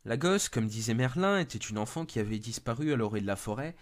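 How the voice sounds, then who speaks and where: A man's voice: mid-range voice, lively intonation, fast. A man narrating a scripted first-person horror story.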